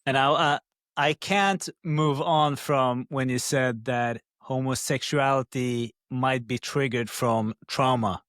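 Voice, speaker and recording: Male podcast host, direct and curious, mid pitch, dry close mic. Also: steady tone, takes it slowly, fairly bright tone, no echo. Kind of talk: interview questions.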